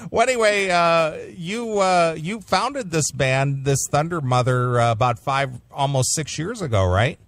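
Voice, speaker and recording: A man's voice: on the high side, lively intonation, measured pace, few pauses, a bit bright, dry recording. A man hosting a classic metal radio show, interviewing a guest by phone in a rambling way with many fillers. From United States.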